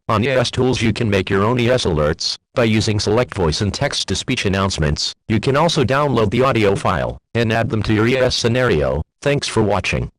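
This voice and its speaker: A man's voice: fairly low pitch, no echo, poor audio. A man's synthetic text-to-speech voice reading scripted emergency alerts in a formal manner.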